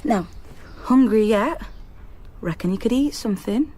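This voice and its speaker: An actress playing a warm, playful nanny in a naturalistic radio drama, teasing and gently encouraging. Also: female voice, fairly high pitch, expressive, a little slow. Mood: gentle, cheerful. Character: warm, playful young female live-in nanny.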